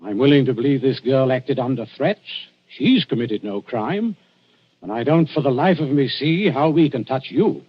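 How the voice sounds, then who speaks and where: Actor as husky, exasperated old-time police inspector. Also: male voice, medium pitch, moderate pace, natural voice. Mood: curt, resigned. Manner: indignant, emphatic. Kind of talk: 1940s radio drama acting. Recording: muffled, old 1940s radio broadcast.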